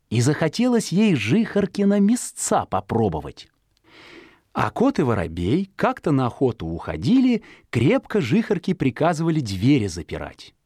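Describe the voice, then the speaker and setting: A woman's voice: extremely low pitch, a little mellow, slightly rough, no echo, studio quality. A woman storyteller narrating a children's fairy tale in a folksy, theatrical, singsong way.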